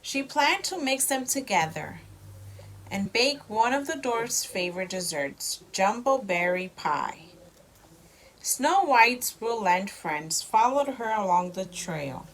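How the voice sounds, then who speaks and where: A woman reading a storybook to a young child as a mother, with expressive delivery and simple explanations. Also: fairly high pitch, slow, rough recording.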